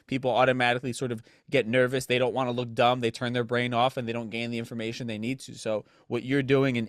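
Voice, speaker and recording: A man's voice: fairly low pitch, no echo, studio quality. A man hosting a podcast, chatting casually and enthusiastically, affirming his guest and often restarting his phrases. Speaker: podcast host. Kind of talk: casual interview chat.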